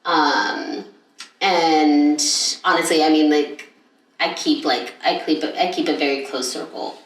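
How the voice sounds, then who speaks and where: A woman's voice: low-pitched, slow pace. A young woman co-hosting a podcast, chatting casually and rambling with lots of fillers and restarts. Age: young adult. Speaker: podcast co-host. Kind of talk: casual chat. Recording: echoey room, mic at a distance.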